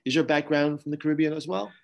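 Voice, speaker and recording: A man's voice: on the high side, steady tone, fast, vocal fry. A man hosting a library podcast, asking curious, rambling questions in a casual interview. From Haitian family background.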